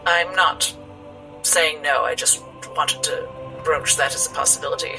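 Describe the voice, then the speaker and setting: A man's voice: fairly high pitch, fairly even intonation, unhurried, creaky, far from the mic, music in the background, rough recording. A man playing a hurt, loyal, cautious survivor in tabletop roleplay, speaking carefully and hesitantly.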